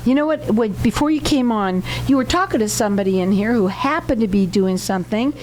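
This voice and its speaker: A woman's voice: fairly high pitch, animated, a little fast, darkish tone, a little hiss or hum, poor audio. A woman hosting a radio interview in a studio, chatty and enthusiastic, running on in casual talk. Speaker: radio talk show host.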